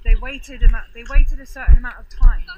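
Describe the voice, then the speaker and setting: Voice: medium pitch, steady tone, a little fast. An interviewer in a casual conversation, thinking aloud in a musing, reflective way. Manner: thoughtful, musing. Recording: distant, muffled mic with loud noise.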